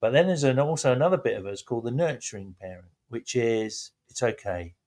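A man hosting a relaxed faith-based chat, talking reflectively with gentle emphasis. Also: mid-range voice, a little fast.

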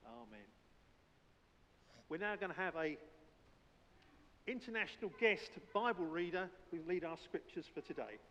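A man leads a church service with earnest, declamatory phrases, praying and preaching. His voice sits high for a man, and the sound is muffled, ringing with the long reverb of a hall. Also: sing-song, moderate pace, long pauses, a bit bright, slight background noise. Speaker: church elder leading a service. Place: indoor church service, speaking from the platform.

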